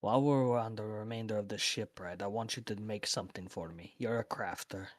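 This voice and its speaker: A man's voice: lowish voice, fairly even intonation, fairly quick, no echo, studio quality. A man playing a tabletop roleplay game, casually bantering and speaking partly in character.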